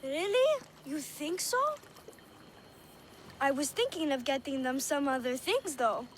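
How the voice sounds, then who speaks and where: A woman's voice: high-pitched, lively intonation, measured pace, slight background noise. An actress playing a sweet, earnest little girl in a video game, artless and childlike. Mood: shy, friendly. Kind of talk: video game cutscene acting.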